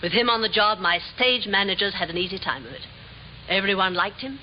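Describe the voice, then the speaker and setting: A woman acting in a 1950s radio drama as an imperious, loyal head of a theatre company, crisp and formal. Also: medium pitch, a little fast, close to an everyday voice. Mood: composed, guarded. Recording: muffled, echoing, hissy old radio recording.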